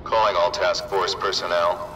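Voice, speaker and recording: A man playing a deep, stern commander. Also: little pitch movement, recorded from a distance, heavy background noise, natural voice. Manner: brisk, official. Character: stern male authority issuing task force orders.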